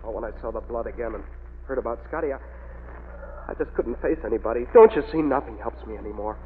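A man playing a tormented, agitated young man who speaks haltingly in an old radio melodrama. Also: mid-range voice, lightly acted. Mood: despairing. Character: tormented young man convinced he is a murderous werewolf. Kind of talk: 1940s radio melodrama acting. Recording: noisy, phone-like old radio recording.

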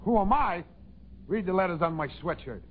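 An actor playing an officious, pompous beach superintendent in a 1940s radio comedy, emphatic and self-important. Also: male voice, high-pitched, animated, normal speed, husky. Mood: indignant. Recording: tinny, noisy old radio, faint audience.

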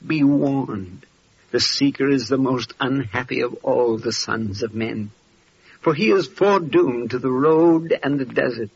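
An actor playing a grave, fatherly wise man with a gravelly, husky voice and solemn manner in an old radio drama. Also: mid-range voice. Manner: solemn, measured pronouncements. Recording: muffled vintage radio recording.

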